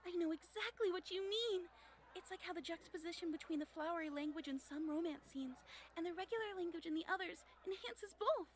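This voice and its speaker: A woman's voice: very high-pitched, brisk. A woman voicing a cute, shy, anxious young octopus girl in a visual novel game, in a small, timid voice. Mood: earnest. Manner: timid, eager, bookish.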